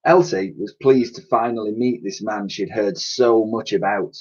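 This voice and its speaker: A man reading a children's story aloud in an animated, expressive storytelling style. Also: lowish voice, normal speed, husky, dull audio.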